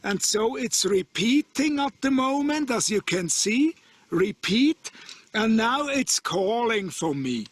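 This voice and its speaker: A middle-aged man with a Swiss German accent giving an informal outdoor demonstration as a radio operator, in halting, repetitive English. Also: very high-pitched, sing-song, no echo. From Switzerland. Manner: halting, repetitive non-native English phrasing. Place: outdoors on an open rocky mountain summit.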